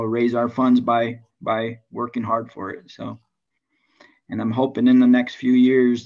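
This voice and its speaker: A man from Hawaii with a Hawaiian accent, a baseball coach talking casually to a club meeting over a video call, halting with many restarts and fillers. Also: mid-range voice, darkish tone. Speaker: high school baseball coach. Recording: dull lo-fi sound.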